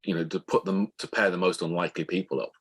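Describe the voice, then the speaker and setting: Male author guest, low husky register, halting and thoughtful explanations, conversational interview delivery. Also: steady tone.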